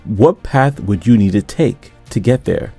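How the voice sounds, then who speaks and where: Male podcast host, low and lively, upbeat presenter read. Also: unhurried, gravelly, soft background music. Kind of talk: podcast intro and interview.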